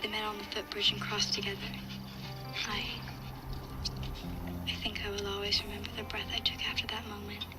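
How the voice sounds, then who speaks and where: A woman's voice: medium pitch, monotone, fairly quick, pauses often, loud music behind the voice, close to an everyday voice, poor audio. A woman playing a young girl survivor, narrating a dramatic first-person audio log in a sombre, watchful way. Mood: sombre, tense. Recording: loud ambient sound behind voice.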